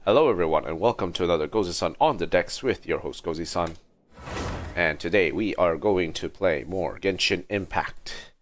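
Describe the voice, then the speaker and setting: A man's voice: sits low, moderate pace. A man hosting a casual gaming livestream, talking in a hesitant, rambling way. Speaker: livestream host.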